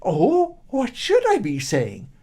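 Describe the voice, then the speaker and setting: A male storyteller voicing a foolish, forgetful young servant boy in a high, eager voice. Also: huge pitch swings, takes it slowly, warm tone, full character voice. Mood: eager, innocent. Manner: eager, high squeaky boyish voice. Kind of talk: storyteller's character voice.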